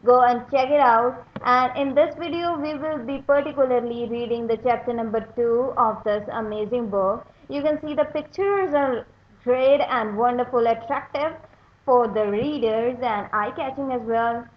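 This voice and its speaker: High-voiced woman reading a children's story. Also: normal speed. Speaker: read-aloud storyteller, channel host. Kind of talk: children's book read-aloud with asides on pictures. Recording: dull lo-fi sound, faint background noise.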